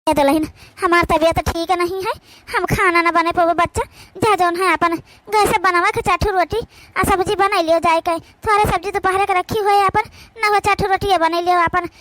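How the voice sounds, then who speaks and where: A woman's voice: extremely high pitch, steady tone, moderate pace, a bit bright, slight background noise. A woman with an Awadhi accent voicing a weary, ailing old village mother-in-law in a cartoon. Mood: weary, pleading. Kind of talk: amateur cartoon voice acting.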